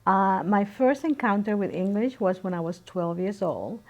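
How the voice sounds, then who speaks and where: Woman, calm and reflective. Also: mid-range voice. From Dominican Republic. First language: Spanish. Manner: calm, reflective, with filler pauses. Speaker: interviewee. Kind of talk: casual interview recollection.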